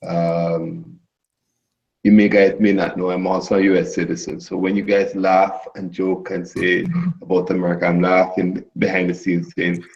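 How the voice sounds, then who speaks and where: A man's voice: low-pitched, level tone, normal speed, creaky, darkish tone. A man from Jamaica with a Jamaican accent, chatting casually in a group and often restarting his sentences. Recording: dull lo-fi audio.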